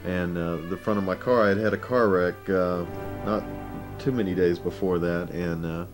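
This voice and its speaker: A man casually recalling old projects in an interview, in a halting, hesitant way. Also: sits low, fairly even intonation, over music. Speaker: interviewee, former amateur filmmaker. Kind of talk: casual recollection.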